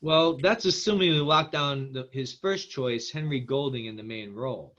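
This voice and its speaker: A man playing a smooth, agreeable film producer in a table read. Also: mid-range voice, moderate pace, a bit bright, lightly acted. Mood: earnest. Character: smooth, agreeable male producer pushing diversity casting. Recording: slightly dull, lo-fi audio.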